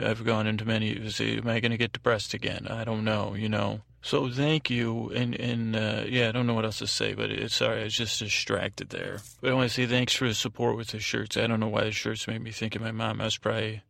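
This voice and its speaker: Man, podcast host, gravelly fry, dry close sound, meandering informal monologue, halting and self-interrupting. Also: fairly low pitch, a bit bright, no echo.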